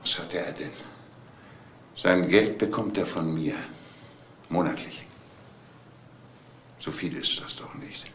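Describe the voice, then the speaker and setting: An older man acting a shaken, grieving uncle in a crime drama, speaking in a halting, incredulous way. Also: low-pitched, a bit bright. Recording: echoey room with faint background noise.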